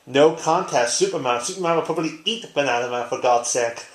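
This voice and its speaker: A man talks casually to his viewers in an echoey living room. His pitch sits in the middle range, and his manner is informal and blunt, like someone recording a home vlog. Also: fairly quick. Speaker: video blogger. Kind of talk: casual tag-response vlog chat.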